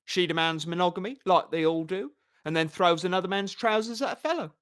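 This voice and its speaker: An audiobook reader voicing a vain, flamboyant male poet who talks in a raised, theatrical, excitable way. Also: mid-range voice, fairly quick, pitched above the performer's own voice, close to an everyday voice.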